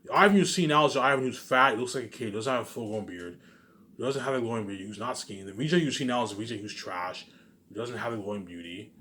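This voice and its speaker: A man's voice: mid-range voice, fast, sharp tone. A man rambling casually in a monologue, boastful and emphatic, repeating himself and asking questions that he answers himself. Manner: boastful, profane. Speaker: vlogger.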